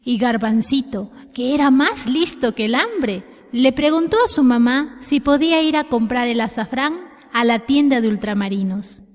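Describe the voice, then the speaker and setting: A woman's voice: high voice, moderate pace, hardly any pauses. A woman telling a children's story expressively from a script, addressing young listeners directly. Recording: long reverberant echo.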